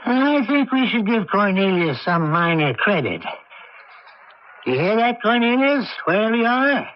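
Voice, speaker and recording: A man's voice: sits high, sing-song, a little slow. An actor playing a kindly, slightly forgetful old grandfather in a 1980s radio drama. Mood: calling out. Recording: noisy, muffled old radio broadcast.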